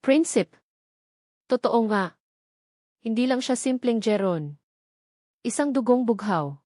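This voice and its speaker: A woman's voice: a little high, lively intonation, slow, darkish tone, dry recording, studio quality. A woman voicing an anxious, shocked young mother in an audio drama, stammering and trembling as she questions her elder. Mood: shocked, confused.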